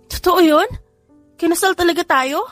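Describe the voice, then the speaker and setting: A woman voicing a flustered, apologetic young bride in an audio drama, startled and hurried. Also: very high voice, no room sound, soft background music, pitched above the performer's own voice. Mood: shocked.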